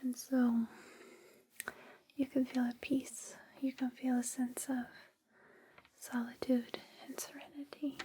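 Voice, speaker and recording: A woman whispering softly and breathily in a soothing, reassuring ASMR personal-attention roleplay, close to a binaural microphone. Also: high voice, flat delivery, slow pace, pauses often. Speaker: ASMR performer playing a space flight attendant guiding a sleep journey. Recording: close binaural with background hiss and clicks.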